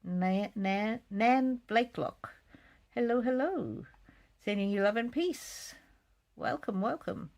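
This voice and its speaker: A woman hosting a live psychic card reading, chatting casually and reading out comments in a gentle, musing, hesitant way with soft repetitions. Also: on the high side, expressive, takes it slowly.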